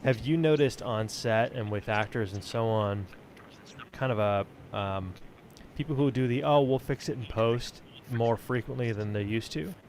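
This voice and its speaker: A man's voice: a little slow, darkish tone. A man hosting a casual podcast discussion, speaking informally and with firm opinions. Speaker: podcast host, audio editor. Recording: background rumble and noise.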